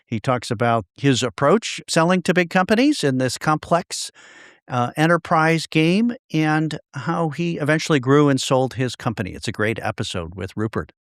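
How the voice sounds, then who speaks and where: Podcast host guy, smooth voice, chatty and upbeat, dry sound. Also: on the high side, animated, normal speed, dry recording. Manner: upbeat, conversational, some restarts. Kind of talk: podcast intro and interview. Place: home in Dallas.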